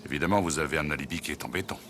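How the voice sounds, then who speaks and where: A man's voice: very deep voice, very fast, fairly bright tone, slight background noise. A man dubbing a skeptical, brash city police inspector in a French film, speaking sarcastically. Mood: brash, sarcastic.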